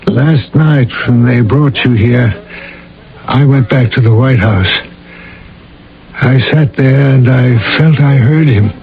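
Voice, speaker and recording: An actor playing a grave, concerned government man in a radio drama, speaking in a measured, solicitous way. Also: medium pitch, natural voice. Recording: tinny old radio broadcast.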